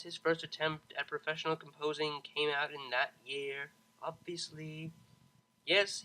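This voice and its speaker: Bright, animated story narrator. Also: mid-range voice, poor audio. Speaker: fan fiction audiobook narrator. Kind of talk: expressive scripted story narration.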